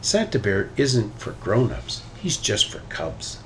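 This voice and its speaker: A cheerful, easygoing father bear from a picture book, as a man reads him. He sounds untroubled and animated, with a faint layer of noise behind him. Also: lowish voice, slow, vocal fry, exaggerated voice. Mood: breezy.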